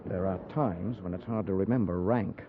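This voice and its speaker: Low-voiced cocky young cavalry lieutenant. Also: male voice, moderate pace. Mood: scornful. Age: young adult. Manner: breezy, self-assured. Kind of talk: 1950s radio drama acting. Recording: muffled, noisy 1950s radio recording.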